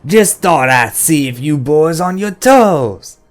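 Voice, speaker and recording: Man putting on a shrill, mean, taunting woman. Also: animated, takes it slowly, faint music behind. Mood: mocking. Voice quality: hoarse, rasping. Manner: shrill, heavy dialect, mocking.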